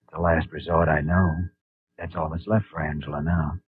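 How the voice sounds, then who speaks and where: An actor playing an earnest, steady young doctor in a 1950s radio drama. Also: male voice, a bass voice, normal speed. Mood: reassuring. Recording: tinny lo-fi 1950 radio broadcast.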